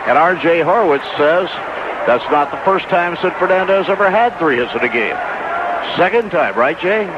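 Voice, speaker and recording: A man's voice: fairly high pitch, expressive, normal speed. An American man giving conversational color commentary on a live baseball radio broadcast. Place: stadium broadcast booth. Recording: dull, noisy 1980s AM radio broadcast. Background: loud ballpark ambience and noise.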